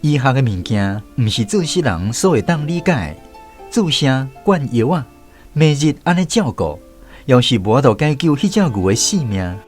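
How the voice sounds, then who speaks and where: A man with a Taiwanese accent narrates a scripted radio story. His mid-pitched voice moves up and down with lively expression, over faint instrumental music. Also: moderate pace.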